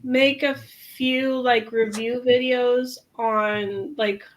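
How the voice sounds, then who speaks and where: A woman co-hosting a podcast, talking casually with many pauses and fillers. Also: fairly high pitch, fairly even intonation, slight background noise. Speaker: podcast co-host. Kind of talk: casual chat.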